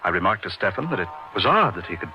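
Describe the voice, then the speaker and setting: Man acting an animated, awestruck radio-drama doctor. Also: low voice, quick pace, soft background music, exaggerated voice. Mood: awed, ominous. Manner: theatrical, florid, emphatic delivery. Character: earnest, awestruck young doctor recounting a dark tale. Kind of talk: old-time radio drama narration. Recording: muffled radio drama recording.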